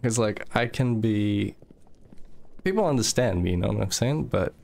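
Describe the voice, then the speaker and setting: A man's voice: on the low side, animated, moderate pace, creaky, darkish tone. A young man from Moldova, chatting casually while streaming a game, swearing freely and reading chat aloud. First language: Russian.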